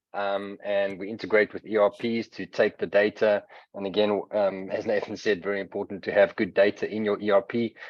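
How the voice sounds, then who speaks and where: A man with a South African accent giving a webinar presentation and software demo, explaining steadily with frequent hesitant fillers. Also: fairly low pitch, dry recording. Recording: dull lo-fi webinar audio.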